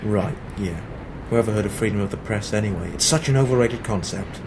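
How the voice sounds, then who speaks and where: A man's voice: fairly low pitch, fairly quick, gravelly, background noise. A man playing a sarcastic, defiant newspaper reporter from the 1940s in an amateur radio play, dry and sardonic. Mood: bitter.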